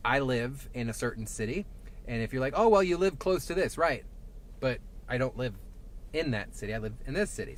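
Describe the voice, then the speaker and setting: A man with a Southern twang, a traffic safety reporter chatting casually through a live stream from inside his truck, rambling with lots of fillers. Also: mid-range voice. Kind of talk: live-streamed casual commentary while driving. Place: inside a parked and moving truck. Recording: faint vehicle noise behind.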